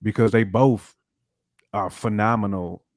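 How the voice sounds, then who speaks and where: A man's voice: on the low side, steady tone, unhurried, darkish tone, no echo, studio quality. A man chatting casually as a hip hop podcast panelist, slangy and repeating phrases for emphasis.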